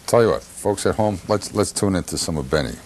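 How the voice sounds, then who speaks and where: A man's voice: low voice, animated, brisk, very noisy. A man hosting a television talk show with casual chat. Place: television studio.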